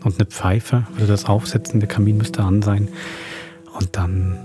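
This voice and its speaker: A man's voice: on the low side, flat delivery, a little slow. A man hosting and introducing a podcast, with music behind his voice. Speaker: podcast host and producer.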